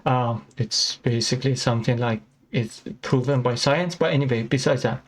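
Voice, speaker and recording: A man from Iran, a non-native English speaker, giving a casual reaction commentary with frequent fillers and halting restarts. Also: mid-range voice. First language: Persian. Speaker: commentary channel host.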